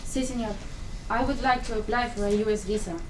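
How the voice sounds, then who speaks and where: A woman's voice: a little high, steady tone, brisk, lightly acted. A woman playing an anxious but determined young Cuban mother in a dramatic reading of a stage play. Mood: earnest. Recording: distant echoey room, faint noise.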